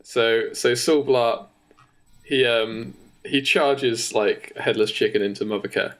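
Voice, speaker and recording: Man, British accent, medium pitch, stumbling speech, informal podcast banter. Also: steady tone. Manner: halting, stumbling delivery. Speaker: podcast co-host pitching a story. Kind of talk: casual joking chat.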